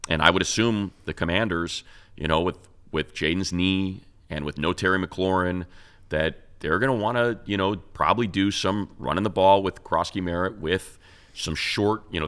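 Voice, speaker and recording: A man with a deep, low voice talks sports as a show co-host. His delivery is casual and conversational, working through analysis and repeating words to stress a point. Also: moderate pace, fairly bright tone.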